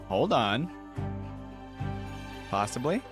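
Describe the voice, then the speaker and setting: A man's voice: medium pitch, big pitch swings, drawn-out words. A man hosting a reaction video, thinking aloud in casual, informal commentary. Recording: music playing behind the voice.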